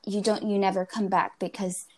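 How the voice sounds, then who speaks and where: A woman's voice: mid-range voice, flat delivery, normal speed. A woman hosting an interview, speaking hesitantly with many fillers and small laughs. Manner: hesitant, many ums, giggly. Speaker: interview host. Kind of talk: conversational interview.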